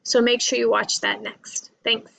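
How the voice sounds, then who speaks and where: Female college English lecturer, creaky voice, informal and explanatory, recorded close and dry. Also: a little high, flat delivery, unhurried, a bit bright, dry recording. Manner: conversational, repeats points and checks with "right?" and "you know".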